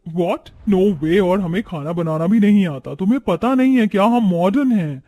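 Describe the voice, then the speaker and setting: A man voicing a haughty young modern city daughter-in-law, sassy and defiant, mixing in English words, in an animated story. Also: sits high, moderate pace, lightly acted. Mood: indignant.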